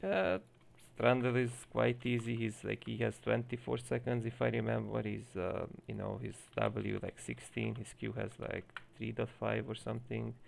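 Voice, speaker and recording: A man's voice: mid-range voice, steady tone, moderate pace, gravelly, slight background noise. A man with a Hungarian accent coaching a player live on stream, explaining at length with many fillers. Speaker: game coach.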